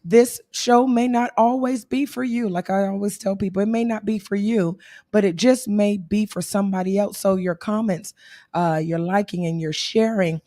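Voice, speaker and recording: A woman in her thirties with a hoarse voice, co-hosting a casual livestream talk and laughing and teasing as she goes. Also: mid-range voice, fairly even intonation, normal speed, warm tone, no echo, studio quality. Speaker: co-host.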